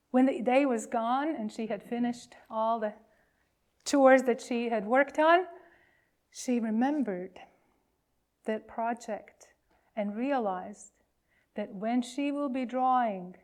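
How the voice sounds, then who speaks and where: A woman with a fairly high voice leads worship as a minister. She speaks very slowly, leaving pauses between phrases as she reads and tells a story for children. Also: darkish tone.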